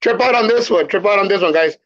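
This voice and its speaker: A man's voice: high-pitched, fast, a bit bright. A man from El Salvador, a podcast guest telling his life story casually, using street slang and repeating phrases. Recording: muffled lo-fi sound.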